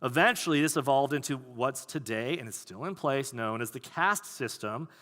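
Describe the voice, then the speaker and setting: A man preaching a sermon as pastor, talking in a conversational, animated way. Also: medium pitch, normal speed. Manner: conversational, animated, acts out quoted voices. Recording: long hall reverb.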